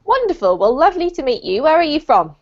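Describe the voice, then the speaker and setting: A woman teaching an online English class, greeting new students in a bright, encouraging way over a video call. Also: high-pitched, very animated, fast talker. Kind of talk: friendly online class talk. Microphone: computer mic on a video call. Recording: dull lo-fi call audio.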